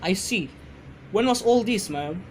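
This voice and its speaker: A woman playing a detached, unhurried police desk sergeant in an amateur radio drama, laconic and formal. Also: medium pitch, lively intonation, a little mellow, a little hiss or hum, close to an everyday voice.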